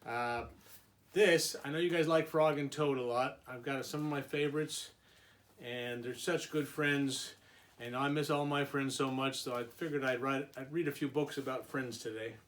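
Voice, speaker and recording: A man's voice: medium pitch, fairly even intonation, moderate pace, a bit bright. A man reading a storybook aloud to young children like a teacher, in simple phrases with small asides. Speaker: preschool and kindergarten teacher.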